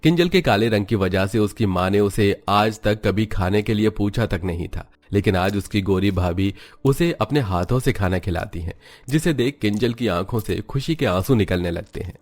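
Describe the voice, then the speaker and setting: A man's voice: fairly low pitch, expressive, moderate pace, hardly any pauses, a little mellow. A man narrating a scripted cartoon family story.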